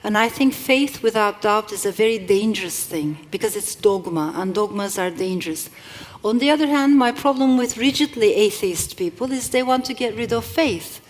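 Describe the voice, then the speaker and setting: Middle-aged female author, English not her first language; calm reflective reader, even middle pitch. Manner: reflective, with measured pauses and occasional restarts. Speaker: author and guest. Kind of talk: thoughtful talk and reading from her novel. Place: live event stage.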